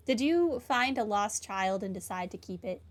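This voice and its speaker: A woman's voice: medium pitch, expressive, moderate pace. A woman giving casual, playful, wry commentary while playing a video game. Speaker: game player commenting on a playthrough. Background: faint game music.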